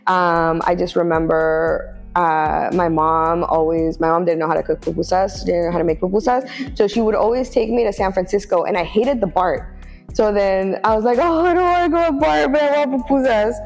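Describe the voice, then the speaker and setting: A woman's voice: mid-range voice, moderate pace, in a large hall, soft background music. A woman from Oakland, California, talking as a podcast guest in a reflective way, with many fillers and restarts and easy laughs. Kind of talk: casual podcast conversation.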